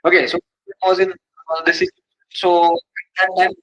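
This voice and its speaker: A man's voice: sits high, big pitch swings, normal speed, a bit bright, rough recording. A man with an Indian English accent teaching an exam coaching class in a didactic way, repeating key terms and asking rhetorical questions. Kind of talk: classroom lecture.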